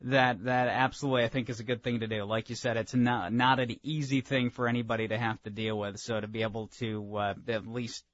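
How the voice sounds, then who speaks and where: American radio host, dry muffled sound. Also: male voice, mid-range voice, level tone, a little fast, few pauses, fairly bright tone, dry recording. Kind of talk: conversational radio interview. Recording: dull, dry radio audio.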